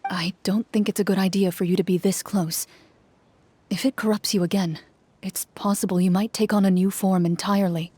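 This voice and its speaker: A woman's voice: mid-range voice, fairly even intonation, dark tone, breathy edge, lightly acted. Woman as solemn, composed fantasy game champion. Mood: stern concern. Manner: measured, solemn. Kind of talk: fantasy game narration and acting.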